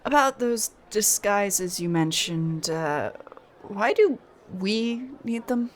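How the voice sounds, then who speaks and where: A woman's voice: mid-range voice, lively intonation, slow pace, a little hiss or hum. A woman voicing a level-headed young student who teases her friend in an audio drama. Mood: dry. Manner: level-headed, dryly teasing. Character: sensible young woman student, patient, wry. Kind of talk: ASMR audio drama roleplay.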